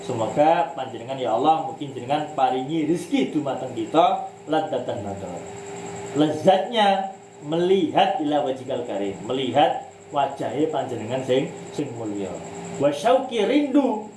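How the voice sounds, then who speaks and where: A man's voice: medium pitch, expressive, moderate pace, roomy sound, noisy background. Man teaching religion. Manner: mixes in Javanese words, asks himself rhetorical questions; restarts words and draws out key phrases. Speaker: religious teacher leading a book study. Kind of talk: religious study lecture.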